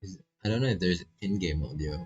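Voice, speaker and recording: A man's voice: sits low, fast talker, a little mellow, dull audio, soft background music. A man doing laid-back, casual live commentary on a visual novel, reading text aloud and swearing freely as he reacts.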